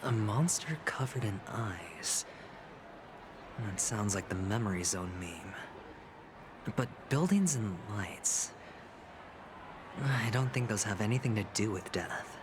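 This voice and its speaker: Sly, theatrical, slow-talking schemer. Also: male voice, on the low side, lively intonation, background noise. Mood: brooding, muttering. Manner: theatrical, smirking, deliberate, breaking into whispers. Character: sly, smooth-talking man with a sinister obsession with death. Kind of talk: video game voice acting. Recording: music under the voice.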